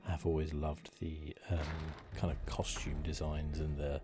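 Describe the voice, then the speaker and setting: A man softly giving relaxed ASMR commentary as he plays a video game, musing as he goes. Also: a bass voice, measured pace. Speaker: gaming video host. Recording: clearly noisy, game ambience behind. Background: video game sound.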